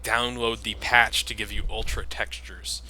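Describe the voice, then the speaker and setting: A man's voice: lowish voice, unhurried, slight background noise, poor audio. A man hosting a let's play, talking casually and rambling with lots of fillers as he plays. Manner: relaxed, rambling, with frequent fillers. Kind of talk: casual gameplay commentary.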